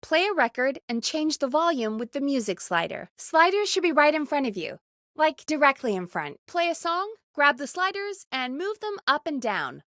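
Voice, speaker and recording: High-voiced, exasperated radio producer. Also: female voice, no echo, clean studio sound. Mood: patient instructing. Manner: brisk, expressive, exasperated and encouraging. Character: bossy, caring, exasperated woman radio show producer. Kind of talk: video game voice acting.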